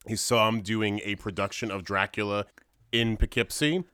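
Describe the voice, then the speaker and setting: A man with a fairly low voice hosts a casual movie podcast from a close microphone. The sound is dry and close. He is laid-back, chuckles easily and lets his sentences trail off. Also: fairly even intonation, normal speed, pushed and bright, smooth, dry recording. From New York State. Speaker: podcast host. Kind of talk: casual chat.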